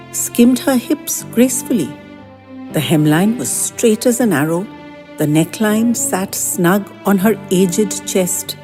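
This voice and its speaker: A woman narrating a first-person story in a gentle, expressive reading with lingering phrases. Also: mid-range voice, long reverb tail.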